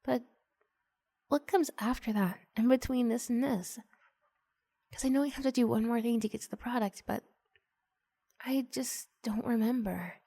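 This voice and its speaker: Woman playing a shy, breathy, flustered schoolgirl. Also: a little high, normal speed, long pauses, mellow, pitched above the performer's own voice. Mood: hesitant, earnest. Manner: hesitant, gushing, breathy half-whispers. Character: shy, flustered, adoring female student seeking homework help. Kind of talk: ASMR roleplay acting.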